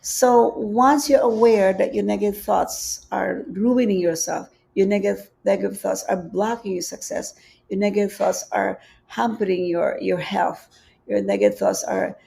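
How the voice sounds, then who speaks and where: A woman with a Filipino accent giving a gentle, repetitive spiritual teaching on a livestream, reading out viewer comments. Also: mid-range voice, vocal fry, breathy edge. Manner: gentle, repeats phrases, reads viewer comments aloud. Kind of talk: live-streamed spiritual teaching. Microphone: livestream setup.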